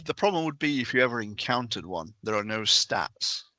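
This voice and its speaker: A man's voice: medium pitch, big pitch swings, normal speed, sharp tone, dry recording. A man chatting casually as a guest on a discussion podcast. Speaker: podcast guest, game master.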